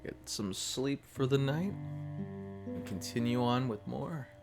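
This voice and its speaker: A man's voice: medium pitch, unhurried, music in the background. A man with a nasal voice, groggy from just waking up, chatting casually and rambling with fillers as he streams a game. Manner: relaxed, rambling, many fillers. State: sleepy, just woken up. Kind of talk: casual live-stream gameplay commentary.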